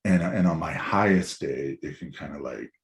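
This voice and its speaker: A man in his forties, a musician guest with a raspy, hoarse voice, talking in a loose, rambling way that is sprinkled with swearing. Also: rumbling low, normal speed. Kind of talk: casual chat.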